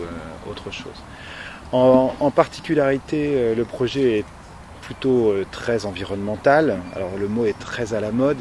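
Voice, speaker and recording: A man's voice: medium pitch, darkish tone. Male architect explaining, faint outdoor noise. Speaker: architect presenting his project. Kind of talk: spontaneous explanatory interview.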